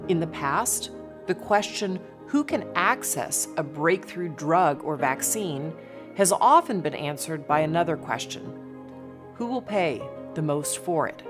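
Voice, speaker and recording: A woman reading a scripted advocacy narration in a measured, emphatic way. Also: lowish voice. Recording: music bed behind.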